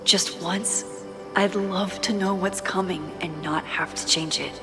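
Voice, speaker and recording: A woman's voice: on the low side, steady tone, measured pace. A woman playing a thoughtful, caring young woman in a video game, speaking in an understated, introspective way. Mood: weary, reflective. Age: young adult. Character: thoughtful young woman, caring, quietly burdened photographer with powers. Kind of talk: video game acting, inner monologue and casual dialogue. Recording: music behind the voice.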